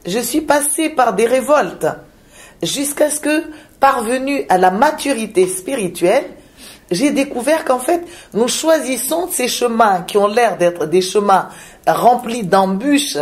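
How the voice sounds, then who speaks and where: A woman from Madagascar, a shaman, speaking in a reflective interview with frequent pauses, hesitations and repeated words. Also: on the high side, expressive. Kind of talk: reflective spiritual interview talk.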